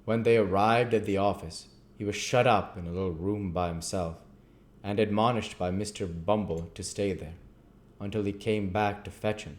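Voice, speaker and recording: A man's voice: on the low side, steady tone, normal speed. A man reading a classic novel aloud as scripted storybook narration. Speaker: audiobook reader.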